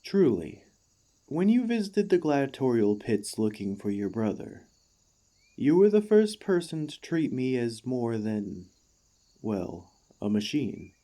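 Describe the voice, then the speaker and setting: This is a devoted warforged ex-gladiator played by a man in an ASMR roleplay. The voice is soft-edged and mellow, and the words come slowly and haltingly. The construct speaks with formal courtesy and earnest, easily stirred feeling. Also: mid-range voice, sing-song. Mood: earnest, admiring. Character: emotional, excitable warforged ex-gladiator, gentle and devoted.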